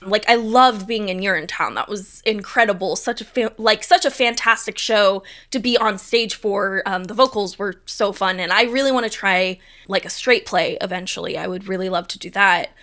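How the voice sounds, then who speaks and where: A woman's voice: medium pitch, normal speed, hardly any pauses, pushed and bright. A young adult woman, a stage manager, chatting casually and upbeat in an interview, with many fillers.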